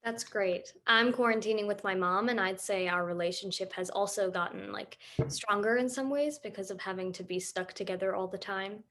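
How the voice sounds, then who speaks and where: A woman's voice: medium pitch, moderate pace, hardly any pauses, a bit bright, close to an everyday voice. A woman playing an earnest student who leads a casual discussion in a documentary play. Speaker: student interviewer.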